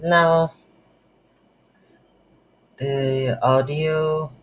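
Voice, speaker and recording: A coding instructor who is a non-native English speaker, giving an unscripted game development tutorial and thinking aloud haltingly while working. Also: medium pitch, steady tone. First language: not English. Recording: noisy, with keyboard typing. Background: computer keyboard typing.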